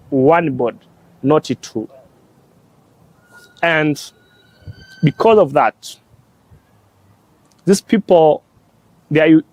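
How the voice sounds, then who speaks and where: Young Tanzanian-born religious brother, unhurried and hesitant, slightly high voice, recorded dry and close. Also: male voice, a little mellow, no echo, low-quality recording. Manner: slow, halting delivery in short phrases with frequent "uh". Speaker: religious brother and law student. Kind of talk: explaining church teaching on a talk show.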